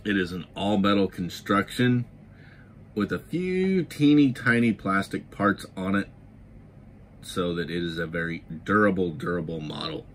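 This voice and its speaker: Middle-aged male reviewer, low voice, keen and repetitive praise, faint background hiss. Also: expressive, measured pace. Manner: enthusiastic, emphatic repetitions. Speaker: toy model reviewer and channel host. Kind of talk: scripted history narration then casual product review.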